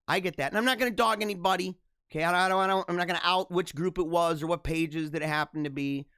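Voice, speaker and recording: A man's voice: high voice, fast talker, fairly bright tone, clean tone, dry recording, clean studio sound. A man hosting a live-streamed talk show, chatting casually with viewers and reading their comments. He chuckles often and repeats phrases as he talks.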